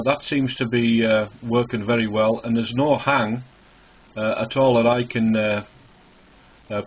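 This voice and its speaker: A man's voice: medium pitch, a little hiss or hum. A man speaking as an amateur radio operator in a casual, hesitant, reassuring on-air contact with call signs. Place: small indoor radio shack. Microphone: small camera near the radio's speaker. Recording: dull, muffled camcorder recording in a radio shack.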